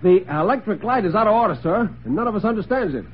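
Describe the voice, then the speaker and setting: Casting: male reader as flustered, respectful servant, voice pitched up, old radio story with faint noise. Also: expressive, fast. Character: deferential male butler, flustered and formal. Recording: tinny, noisy old radio recording.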